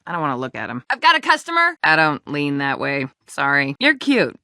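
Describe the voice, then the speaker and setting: A woman's voice: deep voice, big pitch swings, fairly quick, pushed and bright, dry recording, studio quality, heavily acted. An actress playing a blunt, sarcastic female dwarf who works as a tavern barmaid in a video game. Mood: teasing, dismissive. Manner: sardonic, blunt, earthy. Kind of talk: video game character dialogue.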